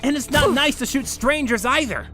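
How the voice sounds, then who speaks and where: Man acting very high, exasperated young hero. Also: animated, normal speed, music in the background. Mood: scolding, wry. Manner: wry, exclaiming. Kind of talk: comic-book audio drama acting.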